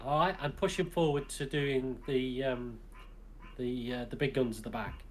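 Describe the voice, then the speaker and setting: A man's voice: medium pitch, steady tone, moderate pace, slight background noise, rough recording. A man co-hosting a casual gaming chat, talking game rules through aloud and sounding exasperated with the design.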